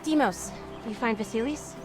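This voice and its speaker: A woman's voice: a little high, normal speed, natural voice. An actress playing a proud, restless young Spartan warrior woman in a video game, candid and earnest, with music behind her. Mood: friendly.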